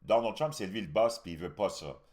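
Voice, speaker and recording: A man's voice: fairly low pitch, a little fast, a little mellow. A man from Canada with a Québécois accent gives casual, opinionated political commentary as a host, speaking colloquially and emphatically. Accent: Québécois French.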